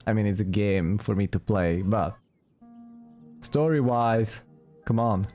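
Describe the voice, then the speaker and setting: A woman giving casual, deadpan, dryly sarcastic commentary as she plays a video game. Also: a bass voice, takes it slowly, faint music behind. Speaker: let's play streamer.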